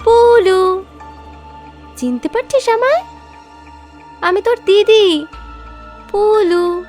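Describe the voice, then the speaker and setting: A performer voicing an undead corpse possessed by an elder sister's ghost, calling out slowly and pleading in an eerie, drawn-out way for a horror audio drama. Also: extremely high pitch, lively intonation, darkish tone, soft background music, full character voice. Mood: pleading, insistent. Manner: slow, insistent, pleading.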